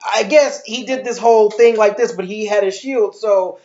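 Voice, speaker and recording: High-pitched man ranting in echoey room. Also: moderate pace, darkish tone. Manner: emphatic, ranting, rhetorical questions. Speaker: movie reviewer. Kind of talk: opinionated film commentary in casual chat. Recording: muffled, echoey room recording.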